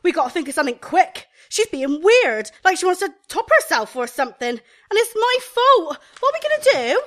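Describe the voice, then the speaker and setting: High, bright young shop girl. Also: very animated, brisk, heavily acted. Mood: urgent, guilty. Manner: colloquial, gossipy, blunt. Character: chatty, aggrieved young shop assistant in seaside town. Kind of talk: radio play comedy acting.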